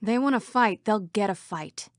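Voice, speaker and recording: A woman's voice: mid-range voice, normal speed, no room sound, studio quality, natural voice. A woman voicing a female computer program citizen in a video game. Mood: wary.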